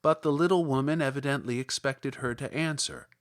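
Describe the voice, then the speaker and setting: A man reading a classic children's story aloud as narrator, in an expressive, measured storytelling manner. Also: medium pitch. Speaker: audiobook narrator.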